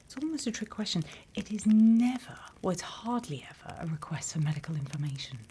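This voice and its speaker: British woman, low breathy whisper, slow deliberate delivery, stern demon instructor roleplay, close ASMR mic, faint background noise. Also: lively intonation, dark tone. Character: stern, dry demon instructor training operatives for Earth. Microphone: close ASMR microphone.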